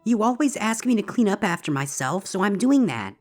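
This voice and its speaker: A woman's voice: medium pitch, sing-song, a little fast, a little mellow. A woman voicing a cute, frightened little filly pony in a dramatized story reading, pleading and childlike. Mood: cutely evasive. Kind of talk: dramatized fan fiction reading. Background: background music.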